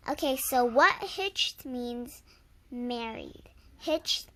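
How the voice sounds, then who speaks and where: A woman's voice: a little high, animated, drawn-out words, pushed and bright, a little hiss or hum. A young girl reading a tall tale aloud informally, stumbling over words, correcting herself and adding asides to viewers. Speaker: reader of a readers theater script. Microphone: handheld camera held by the reader.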